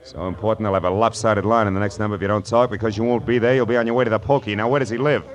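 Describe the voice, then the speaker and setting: An actor with an American accent playing a wisecracking, tough private detective in 1940s radio noir, wry and slangy. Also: male voice, lowish voice, steady tone, rapid-fire, highs cut off, close to an everyday voice. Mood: pushy, wisecracking. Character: hard-boiled, wisecracking male private detective.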